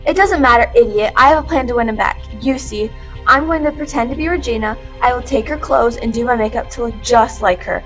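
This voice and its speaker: A woman's voice: a little high, a little fast, fairly bright tone, soft background music, natural voice, rough recording. A woman playing a haughty, scheming mean girl in a student radio play. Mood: snide, scheming. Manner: haughty, insulting. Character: snide, scheming mean-girl twin sister.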